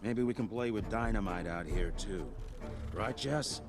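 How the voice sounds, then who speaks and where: An actor playing a grumpy, foul-mouthed old retired American gunslinger in a video game cutscene, sardonic and grumbling. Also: male voice, lowish voice, measured pace. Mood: gruff, taunting. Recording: loud music and effects behind.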